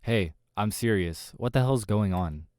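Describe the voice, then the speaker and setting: A man's voice: fairly low pitch, unhurried, a little mellow, no room sound, clean studio sound, natural voice. A man voicing a frightened young waiter in a visual novel, nervous and prone to sarcasm. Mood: exasperated. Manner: nervous, stammering when serving.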